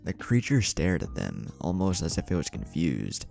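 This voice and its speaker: A man narrates a dramatic tale in a very low voice with a gravelly edge. His delivery rises and falls in a lively way, as a scripted storyteller's does. Music plays softly behind him. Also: moderate pace. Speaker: fan fiction narrator.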